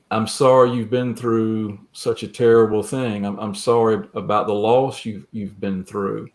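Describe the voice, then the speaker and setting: A man speaking as an interview guest, reflective and measured, with a gentle, earnest manner. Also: lowish voice. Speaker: guest, creative writing teacher. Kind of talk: reflective interview talk and poem reading.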